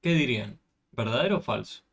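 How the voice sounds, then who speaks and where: A man's voice: medium pitch, lively intonation, a little fast, creaky, fairly bright tone, breathy edge, muffled recording. Patient man tutoring math. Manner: patient, asks frequent check questions. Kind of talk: online class explanation.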